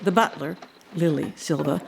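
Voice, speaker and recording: An actress playing an earnest, proud housekeeper who tells a haunting tale in a deliberate, expressive way. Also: female voice, low voice, vocal fry, a little hiss or hum. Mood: wry, disapproving. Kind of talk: dramatic audio-drama storytelling.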